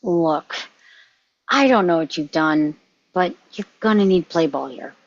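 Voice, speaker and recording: A woman's voice: fairly low pitch, expressive, a little slow, highs cut off. A woman playing a cocky young American male field officer in a film script table read, casual and offhand with authority. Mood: officious.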